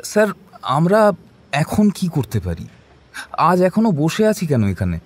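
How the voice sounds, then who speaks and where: Casting: junior cop, highish husky voice, respectful and inquisitive, crime audio drama. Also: male voice, huge pitch swings, unhurried, full character voice. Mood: curious, impatient. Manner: respectful, questioning, slightly hesitant. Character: eager, deferential male junior police officer.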